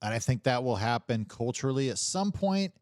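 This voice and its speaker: A man in a casual livestream conversation, talking in a halting, rambling way with some swearing. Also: mid-range voice, sing-song, darkish tone, no echo, studio quality.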